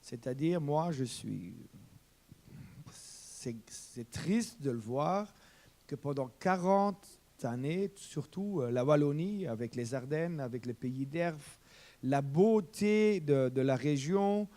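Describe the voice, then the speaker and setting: German-speaking man, passionate debate panelist, mellow dark voice, rambling. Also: a little high, lively intonation, takes it slowly. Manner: impassioned, repeats words, rambling. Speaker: farmer panelist.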